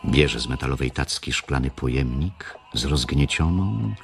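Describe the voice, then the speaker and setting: A man's voice: extremely low pitch, lively intonation, a little slow, gravelly, soft background music, exaggerated voice. A man narrating scenes in a radio drama, reading a script.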